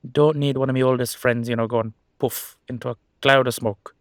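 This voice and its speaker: A man's voice: mid-range voice, steady tone, normal speed, no echo, clean studio sound, natural voice. A man playing a cheeky, easygoing immortal headless horseman in a roleplay audio drama, talking in a casual, rambling, reassuring way. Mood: playful, casual.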